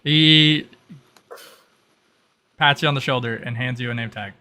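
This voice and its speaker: A man's voice: medium pitch, steady tone, a little slow, pushed and bright, a little hiss or hum. A man narrating as game master, explaining the rules and briefing players in piecemeal, hesitant phrases.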